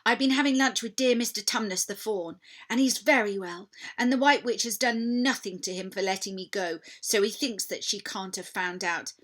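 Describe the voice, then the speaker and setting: Woman acting an eager little girl, bright voice. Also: mid-range voice, moderate pace, hardly any pauses, natural voice. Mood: happy, eager. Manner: earnest, excitable.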